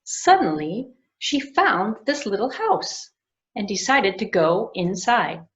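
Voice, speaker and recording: Gentle woman telling a children's story. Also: medium pitch, slow pace. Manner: gentle and expressive. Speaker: children's librarian and puppeteer. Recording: dull lo-fi recording.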